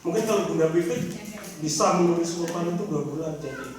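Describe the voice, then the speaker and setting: A man's voice: fairly high pitch, expressive, quick pace. Hesitant Indonesian man in an echoey hall. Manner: hesitant, self-deprecating humor, many fillers. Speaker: guest speaker, novelist. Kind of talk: casual talk at a seminar. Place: seminar room. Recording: echoey room, distant mic, noisy.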